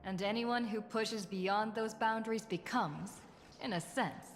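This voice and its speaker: A woman voicing a calm, reassuring mentor in a video game. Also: mid-range voice, measured pace, faint music behind, lightly acted. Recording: game audio with long reverb.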